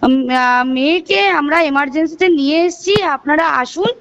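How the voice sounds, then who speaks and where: A woman's voice: sits high, brisk, fairly bright tone. A woman with a Bengali accent giving urgent notice on a recorded phone call as a hospital official, repeating herself and hesitating. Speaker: hospital assistant superintendent calling a relative.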